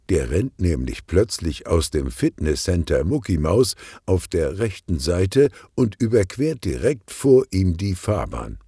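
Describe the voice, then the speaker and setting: A man narrates a children's detective story in a low, husky voice with plenty of creak. He takes his time, reading as an audiobook storyteller. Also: warm tone, no echo, studio quality.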